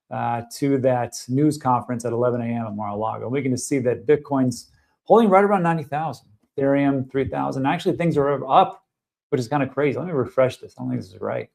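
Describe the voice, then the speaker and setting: A man streams live from his desk, walking viewers through price charts in a loose, conversational way. He thinks aloud, hedges, and pads his sentences with fillers. His voice sits in an ordinary middle pitch. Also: vocal fry, darkish tone. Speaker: crypto market commentator.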